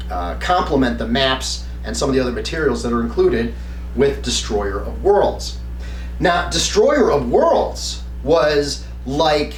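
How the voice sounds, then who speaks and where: A man with a fairly high-pitched voice talks through a game review in a conversational, explanatory way. He sounds set back from the microphone in an echoey room. There is a faint noise under his voice. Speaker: tabletop game reviewer, presenter. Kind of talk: conversational product review to camera. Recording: roomy echo, distant mic, faint hum.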